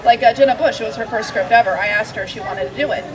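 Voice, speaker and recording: A woman speaking loudly and enthusiastically on a panel at an outdoor book fair, holding the microphone away from her face. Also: a little high, racing. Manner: loud, enthusiastic. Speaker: comics artist, panelist. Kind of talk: panel discussion answer. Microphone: handheld microphone held away from face. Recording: distant mic outdoors with crowd. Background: crowd noise of an outdoor fair.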